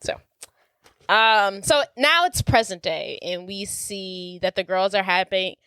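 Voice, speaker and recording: A woman's voice: mid-range voice, takes it slowly, sharp tone, smooth, dry recording. A woman co-hosting a podcast, recapping a movie in an easygoing, teasing way. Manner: easygoing, teasing, laughs often. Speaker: podcast co-host. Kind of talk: casual movie recap chat.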